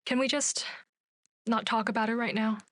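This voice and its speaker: A woman's voice: fairly high pitch, fairly even intonation, normal speed, dry recording, natural voice. A woman playing a weary, guarded television director in a radio play, speaking in an understated, naturalistic way. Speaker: voice actor.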